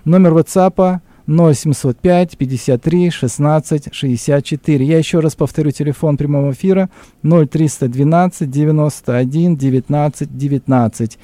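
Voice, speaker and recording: A man hosting a live radio talk show with a formal, measured announcer delivery, speaking into a studio microphone. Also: fairly high pitch, warm tone, dry recording. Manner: measured, announcer-like reading of numbers.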